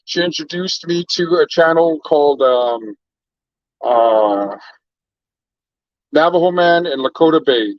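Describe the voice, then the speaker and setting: A man's voice: on the high side, expressive, slow pace, pauses often, no room sound, highs cut off. A man from Canada hosting a casual livestream chat, laid-back and rambling as he greets viewers by name. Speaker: livestream host.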